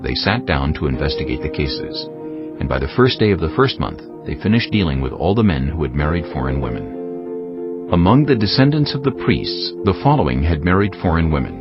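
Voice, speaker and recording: A man narrating scripture from a script in a measured, solemn way, as in a dramatized audiobook. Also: deep voice. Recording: dull lo-fi audio, music behind. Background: clear background music.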